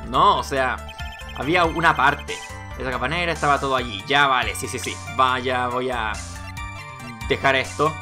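A man's voice: mid-range voice, moderate pace, over music. A man giving casual game commentary, thinking aloud in muttered asides. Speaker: gamer, let's play host.

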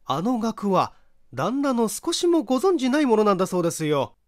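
Casting: male reader as gossipy neighbor wife, high, polite, earnest, very animated, mystery storybook. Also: fairly quick, no echo, studio quality. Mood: eager gossip. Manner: polite feminine speech, chatty, earnest. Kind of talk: audiobook character voice in a mystery story.

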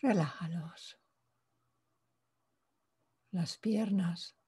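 A woman leading a guided meditation in a soft, breathy voice, calm and hushed, pausing between short phrases. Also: fairly low pitch. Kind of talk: guided meditation, slow spoken instructions.

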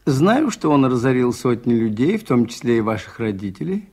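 Radio play: gentle, shrewd priest sleuth, slightly husky and rough, hesitant, courteous. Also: male voice, mid-range voice, big pitch swings, normal speed. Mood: calm, probing. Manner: hesitant, polite, quietly probing. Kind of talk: Soviet radio play acting.

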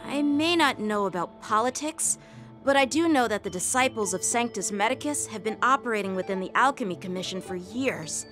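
A woman's voice: fairly high pitch, animated, brisk, fairly bright tone. Brash young swordsman. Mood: polite. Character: young male knight lieutenant, earnest, hot-tempered. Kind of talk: game voice acting. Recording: game audio with background music.

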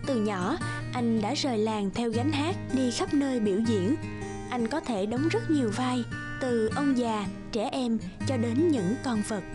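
A woman's voice: fairly high pitch, a little slow. A woman reading scripted folktale narration as a storyteller. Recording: music bed under narration.

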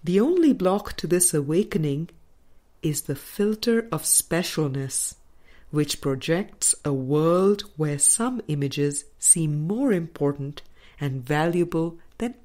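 A woman reading a spiritual audiobook as scripted narration, with measured, deliberate phrasing. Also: low voice, a little mellow. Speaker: audiobook reader.

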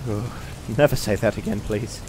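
A man's voice: on the low side, moderate pace, natural voice. A man playing an exasperated, squeamish swordsman with a dry, sarcastic, fussy manner in a comedy fantasy cartoon. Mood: sardonic disgust. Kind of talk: comedic animated fantasy voice acting. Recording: echoey sewer-like reverb with noise.

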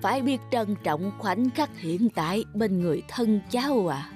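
A woman voicing a gentle, wistful old grandfather in a cartoon, telling things tenderly and wisely. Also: mid-range voice, animated, normal speed, faint music behind, close to an everyday voice. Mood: gently advising. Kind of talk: cartoon dub.

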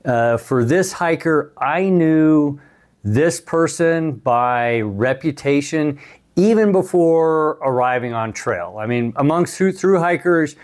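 A man's voice: fairly high pitch, animated, slow pace. A man of 61 talking casually as a hiking vlogger and interviewer, recorded on a clip-on mic in a small hotel room. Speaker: vlogger, thru-hiker interviewing another hiker. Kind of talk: casual vlog talk to camera. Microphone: clip-on lavalier on the chest.

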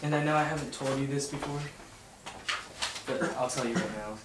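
A young man playing a heartfelt, lovesick teenage boy in a script read-through, halting and earnest. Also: mid-range voice, natural voice. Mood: tender. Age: young adult. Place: home dining room around a table. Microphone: far camera. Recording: roomy, distant mic, clear background noise.

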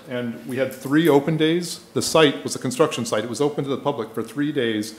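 A middle-aged man giving a conference lecture into a lectern microphone, explaining things informally with hesitant pauses. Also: medium pitch. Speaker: conference speaker, archaeologist presenting a project. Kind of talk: public lecture with slides. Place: indoor lecture room. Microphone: gooseneck lectern microphone. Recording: echoey room sound.

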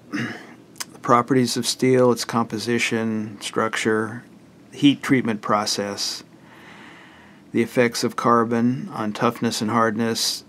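An older man with a hoarse, raspy voice who often clears his throat, walking plainly through a book he wrote. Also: medium pitch, a bit bright, a little hiss or hum. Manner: plain, matter-of-fact, list-like explanation. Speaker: author presenting his book. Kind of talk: casual book walkthrough.

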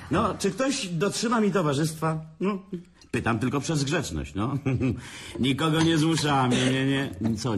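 A man's voice: mid-range voice, breathy edge, heavily acted. An actor playing a boastful, self-pitying businessman who drinks and mocks everyone at a family dinner in a radio drama. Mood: bitter. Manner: sarcastic, theatrical, drinking.

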